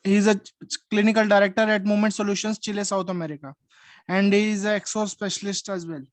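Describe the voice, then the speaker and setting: A male host formally introduces the next speaker at an online summit. His voice sits high and stays flat, with little rise and fall. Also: fast talker.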